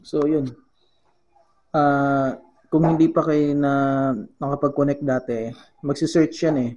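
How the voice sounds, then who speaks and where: A man with a Filipino accent giving a casual how-to demonstration as a vlogger, halting as he searches for words and mixing two languages. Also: fairly high pitch, fairly even intonation, a little mellow, muffled recording. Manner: halting, searching for words, switches between Tagalog and English. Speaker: vlogger giving a gadget tutorial.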